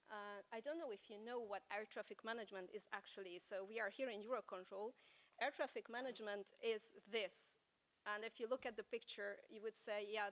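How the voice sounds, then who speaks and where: A Hungarian-accented woman in her forties giving a keynote talk at a conference, telling her story with rhetorical questions. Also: mid-range voice. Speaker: keynote speaker. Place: conference hall.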